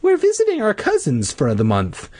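A man voicing a confident, curious young girl in a storybook, brisk and matter-of-fact. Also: high-pitched, huge pitch swings, warm tone, no echo, voice pushed up, exaggerated voice. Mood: friendly.

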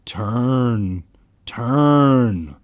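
A man's voice: medium pitch, huge pitch swings, slow, fairly bright tone, breathy edge, exaggerated voice. A man voicing eerie, menacing storybook children in a repetitive chant. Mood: eerie, insistent.

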